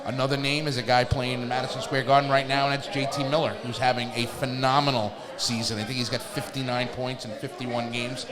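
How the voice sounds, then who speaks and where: A man co-hosting a live sports talk show at a bar, giving unscripted analysis in a halting way, restating phrases as he goes. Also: mid-range voice, flat delivery, a crowd in the background. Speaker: fill-in co-host. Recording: music behind, live bar. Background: music.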